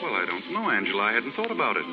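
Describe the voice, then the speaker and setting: An actor playing a genial but evasive novelist in 1930s radio drama, polite and a little hesitant. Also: male voice, fairly low pitch, wildly swooping pitch, rapid-fire, over music. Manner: polite, hesitant, gently patronizing. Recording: muffled, echoey 1930s radio broadcast.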